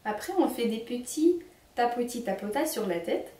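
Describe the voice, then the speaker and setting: A young woman with a Ukrainian accent leading a children's singing workshop, speaking slowly and clearly as she gives instructions. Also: a little high, animated, dark tone. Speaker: singing teacher. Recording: echoey room, mic at a distance.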